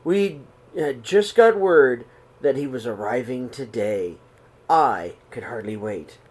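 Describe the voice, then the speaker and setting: A middle-aged man reading a children's picture book aloud in a small room, slow and expressive. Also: medium pitch. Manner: reads slowly and expressively, voices the characters' calls. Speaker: storybook reader. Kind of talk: read-aloud storytelling for children.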